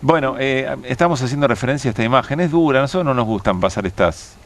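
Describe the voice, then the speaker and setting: A man's voice: mid-range voice, lively intonation, fast. Hesitant Argentine man hosting. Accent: Argentine Spanish. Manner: hesitant, many fillers and restarts. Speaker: host. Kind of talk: political panel discussion.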